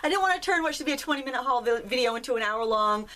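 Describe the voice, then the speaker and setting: A woman in her thirties with a slightly higher voice, a little hoarse, talking in a chatty, rambling way. She walks through her craft purchases from her home studio, full of friendly asides. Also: expressive, rapid-fire, pushed and bright. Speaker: craft video host. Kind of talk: casual haul chat.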